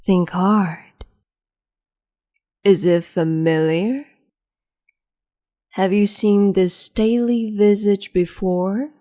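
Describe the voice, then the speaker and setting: A woman voicing a cruel, capricious empress in an ASMR roleplay, speaking slowly and haughtily in a half-whisper close to the microphone. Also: medium pitch, darkish tone, no echo.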